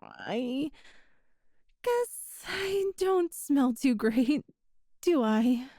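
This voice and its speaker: A woman's voice: sits high, lively intonation, extremely slow, warm tone, no echo. A woman voicing a young cat-girl burglar who is sly but pitiful, talking in a sheepish, flustered, defensive way, for an audio roleplay. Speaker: ASMR roleplay performer.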